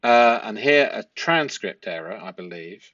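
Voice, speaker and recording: Man, lowish voice, deliberate analytical explainer, rhetorical questions, dry close sound, slightly muffled lo-fi. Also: animated, a bit bright, no echo. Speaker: host and statement analyst. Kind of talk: explanatory analysis of a transcript, part read aloud.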